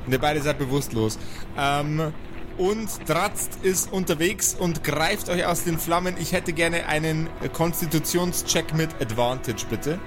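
A man's voice: on the high side, unhurried. A man narrating an improvised tabletop role-playing game as the game master. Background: background music.

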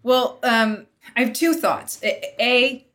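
A woman from Vancouver, Canada, talking as a podcast panelist in a casual, thoughtful way with halting, reflective phrasing. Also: on the high side, fairly bright tone.

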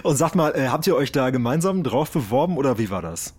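Eager, husky male podcast host. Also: medium pitch, big pitch swings, a little fast, darkish tone. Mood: friendly, interested. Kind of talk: radio drama acting as casual interview.

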